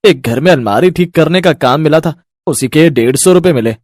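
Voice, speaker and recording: An actor playing a poor labourer husband in a storybook tale, earnest and apologetic. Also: male voice, a little high, expressive, moderate pace, a little mellow, no room sound, studio quality.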